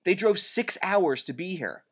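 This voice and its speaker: A young man with a loud, booming voice, telling a long story in casual chat, rambling, with constant "like" and "dude" fillers. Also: animated, unhurried, breathy edge. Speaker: co-host recounting a bookstore event.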